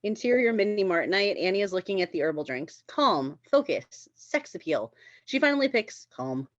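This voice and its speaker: A woman's voice: medium pitch, animated, normal speed, no echo. Dry, dull-sounding woman reading stage directions. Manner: giggly, often breaking into laughter. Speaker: stage directions reader at a script table read. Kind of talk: scripted narration, reading screenplay aloud.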